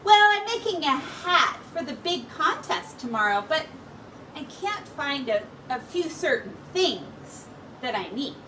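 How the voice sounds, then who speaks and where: A woman voicing a gentle, earnest female hat-maker in a children's story, with expressive, drawn-out phrases. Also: high-pitched, far from the mic, noisy background, voice pushed up. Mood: worried.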